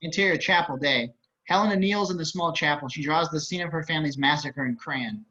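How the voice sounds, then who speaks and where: Bright, higher-voiced man reading stage directions. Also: fairly quick. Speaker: table-read narrator. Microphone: video call. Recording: slightly dull video-call audio.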